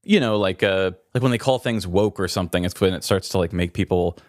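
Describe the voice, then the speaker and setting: A man's voice: low voice, brisk, no echo, clean studio sound. A man co-hosting a podcast, talking casually and candidly in an earnest, slightly hesitant way. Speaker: podcast co-host.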